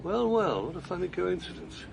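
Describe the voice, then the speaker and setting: Older bloke, earnest and a bit awkward, gravelly, voice swings up and down. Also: male voice, high-pitched, sing-song, moderate pace, noisy background, heavily acted. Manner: earnest, hesitant, gently awkward. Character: older retired man, earnest, gentle, a little awkward. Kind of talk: radio drama acting.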